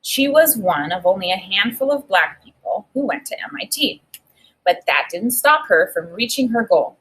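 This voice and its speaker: Husky woman reading aloud to a class. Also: mid-range voice, poor audio. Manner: reads with expressive emphasis, pauses to think aloud. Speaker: teacher. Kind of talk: read-aloud lesson with think-aloud.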